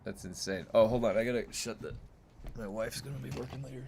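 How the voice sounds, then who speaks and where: A man with a loud, booming voice, chatting loosely and profanely on a game live stream from home, with lots of fillers. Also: quick pace, creaky, noisy background. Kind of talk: casual live-stream chat. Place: home room.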